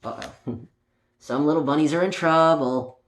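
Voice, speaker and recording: A man's voice: on the high side, measured pace. A man reading a children's story aloud in a playful, child-directed way, chatting to his young listeners as he goes. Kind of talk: read-aloud children's picture book with playful asides. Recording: roomy home recording with faint noise.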